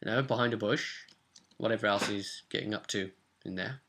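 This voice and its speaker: Game master, young man, British, bright voice, casual tabletop session recap. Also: fairly low pitch, brisk, slight background noise. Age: young adult. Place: small cluttered room at home.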